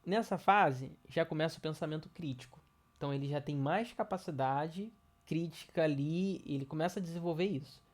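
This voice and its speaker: A man's voice: fairly high pitch, normal speed. Nasal man with a cold. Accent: Brazilian Portuguese. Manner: conversational, many fillers and restarts. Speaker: educator. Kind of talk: casual educational talk to parents.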